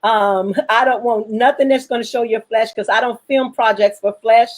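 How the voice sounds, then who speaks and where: A woman's voice: a little high, steady tone, normal speed. A woman hosting a casual online panel chat, speaking frankly and jokingly as a filmmaker. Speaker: host, filmmaker.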